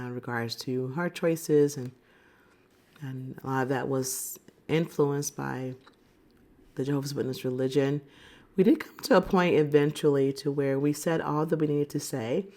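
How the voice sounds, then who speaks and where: A woman of about fifty, recovering from a cold, talking to camera in a reflective, unhurried and candid way. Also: deep voice, gravelly. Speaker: vlogger. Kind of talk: personal reflective talk to camera.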